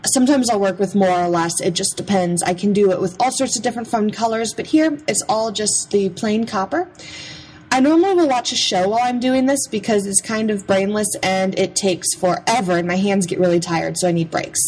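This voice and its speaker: A young adult woman narrates a craft process in a casual, chatty way. Her voice sits at an average pitch for a woman and has a playful, informal delivery. Clear ambient noise runs behind her. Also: fairly quick, darkish tone, poor audio. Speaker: craft vlogger.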